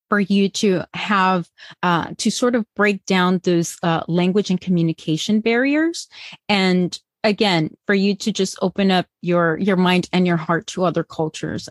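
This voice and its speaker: A woman's voice: fairly low pitch, unhurried, no echo, clean studio sound. A woman from Colombia, a bilingual parenting educator, answering interview questions in an earnest, encouraging way with frequent restarts.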